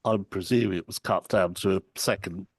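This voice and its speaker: A man's voice: low voice, steady tone, fairly quick, dry recording. A man from the UK making tentative, casual comments and questions in an online discussion. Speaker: webinar audience member.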